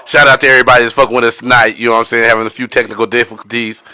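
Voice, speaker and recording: Male podcast host, quick and forceful, casual slangy delivery with repeated catchphrases. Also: mid-range voice, level tone, clean tone. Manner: slangy, frequent catchphrases and repetition. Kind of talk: casual hype chat.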